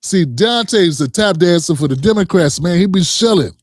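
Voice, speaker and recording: A man's voice: high voice, animated, normal speed, no room sound, studio quality. A man hosting a reaction commentary, talking in a heated, emphatic way with frequent profanity and direct address to viewers. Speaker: commentary host.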